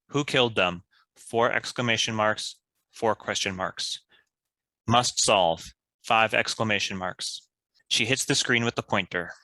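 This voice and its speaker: A man's voice: on the low side, pushed and bright, dry recording, rough recording. A man reading stage directions as scripted narration for a table read.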